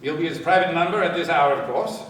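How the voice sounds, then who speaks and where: An actor playing a composed police inspector, speaking formally with dry irony in a radio crime drama. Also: male voice, a little high, a bit bright, lightly acted. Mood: urgent. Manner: measured, formal, dryly ironic. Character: composed, dryly triumphant male police inspector. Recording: very echoey, distant, faint noise.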